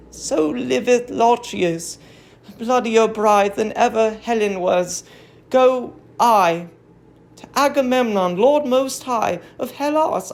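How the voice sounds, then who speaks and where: A woman's voice: high voice, creaky, full character voice. A woman playing a frenzied young prophetess princess in a classical tragedy, declaiming in rapturous, incantatory verse. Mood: vengeful exaltation. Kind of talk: classical tragedy dramatic reading.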